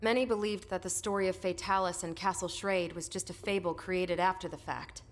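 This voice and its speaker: A woman's voice: fairly low pitch, steady tone, a little fast, close to an everyday voice. A woman playing a thoughtful, earnest expedition member in a video game, speaking seriously. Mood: awed. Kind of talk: video game cutscene acting. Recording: some ambient noise behind.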